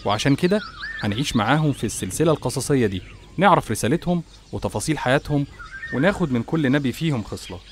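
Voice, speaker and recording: A man with an Egyptian accent narrates religious stories in an educational style. He speaks at a very rapid pace with animated, widely moving intonation, over faint noise. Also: mid-range voice. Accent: Egyptian Arabic.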